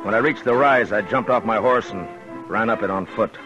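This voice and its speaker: Actor as a low-voiced, grim old West marshal. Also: male voice, muffled recording, lightly acted. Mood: grim, measured. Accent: American. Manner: measured, terse, authoritative. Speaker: lead actor and first-person narrator. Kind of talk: 1950s western radio drama acting and narration. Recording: band-limited old radio recording, music behind.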